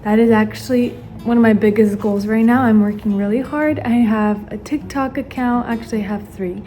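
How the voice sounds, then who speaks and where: A woman's voice: a little high, steady tone, normal speed, warm tone, faint music behind. A woman in her early twenties, a stay-at-home mom born in Ukraine and raised in the US, chatting earnestly to the camera while she does her makeup. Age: 20s. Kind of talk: casual get-ready-with-me chat to camera. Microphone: camera facing her, she watches herself as a mirror.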